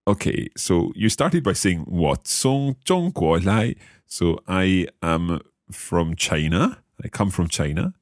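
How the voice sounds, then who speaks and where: Man from Scotland, very low voice, lively pitch, slow careful lesson talk, dry close sound. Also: dry recording. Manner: slow, careful, repeats phrases aloud. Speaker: language learner and podcast host. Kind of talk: podcast language lesson.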